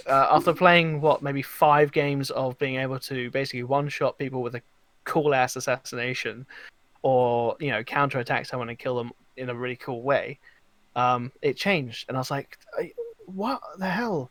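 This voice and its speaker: A man on a podcast panel, chatting casually and telling gaming anecdotes in an informal, halting way. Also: mid-range voice, fairly even intonation, a bit bright, no echo.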